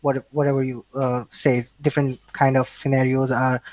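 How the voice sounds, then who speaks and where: A man's voice: medium pitch, flat delivery, moderate pace, a little mellow, dry recording, poor audio. A man from Pakistan with a Pakistani accent, a native Urdu speaker, answering interview questions in a hesitant way with many restarts and fillers. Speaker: interview guest. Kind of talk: casual remote interview.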